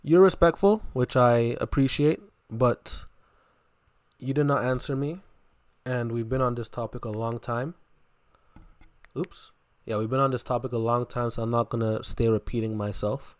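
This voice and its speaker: A man's voice: mid-range voice, moderate pace. Insistent man on a debate stream. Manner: insistent, repeats his question, cuts in. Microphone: headphones plugged in, computer mic.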